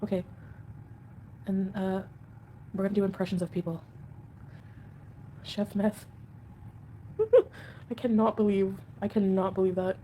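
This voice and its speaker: A woman's voice: medium pitch, expressive, unhurried, pauses often, warm tone, slight background noise. A woman hosting a casual livestream at home, chatting with viewers and answering comments in a rambling, defensive way. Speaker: livestream host.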